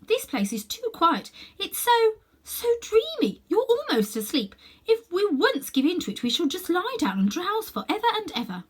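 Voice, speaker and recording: A woman's voice: wildly swooping pitch, normal speed, exaggerated voice. A woman reading aloud and voicing a sensible, earnest young girl in a storybook. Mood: urgent. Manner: high, breathy childlike voice.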